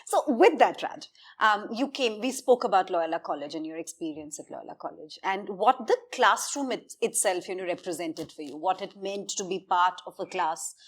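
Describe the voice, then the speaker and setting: Woman, interviewer, conversational, chatty, "you know" fillers, mid pitch.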